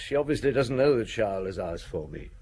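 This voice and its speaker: An actor playing a seasoned middle-aged police inspector who narrates in a measured, dry way in a radio drama. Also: male voice, on the low side, expressive, a little mellow, a little hiss or hum, natural voice. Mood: curious. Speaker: narrator and detective.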